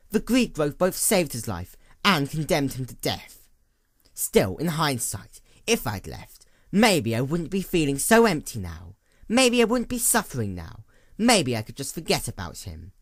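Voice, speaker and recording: A man's voice: a little high, dry recording, studio quality, full character voice. Man as bitter, intense storybook mare. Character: embittered, hollowed-out female pony, the princess's former student. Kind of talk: dramatic storybook character voice.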